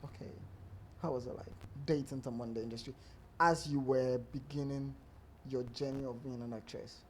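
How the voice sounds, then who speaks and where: A man's voice: mid-range voice, steady tone, normal speed, slight background noise. A Ghanaian man hosting a podcast, interviewing a guest with playful, teasing banter. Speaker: podcast host. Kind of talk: casual interview chat.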